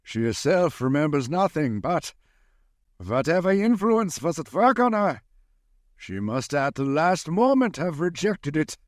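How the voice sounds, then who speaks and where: Man playing high, urgent old vampire hunter. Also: very animated, a little slow, no room sound, clean studio sound. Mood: grave. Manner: dramatic, imperative, emphatic. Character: male older vampire-hunting professor, urgent, commanding. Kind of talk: 1930s-style radio drama acting.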